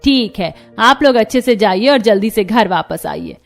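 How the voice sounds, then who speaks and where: A woman's voice: medium pitch, lively intonation, moderate pace, gravelly. A woman playing a caring, practical middle-aged village mother-in-law in a cartoon story, motherly and instructing. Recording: music behind the voice.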